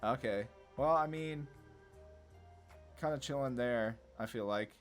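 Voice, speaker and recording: A man's voice: mid-range voice, measured pace, soft background music. A man giving casual, laid-back gameplay commentary on a card game, thinking aloud as he plays. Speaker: gaming content creator.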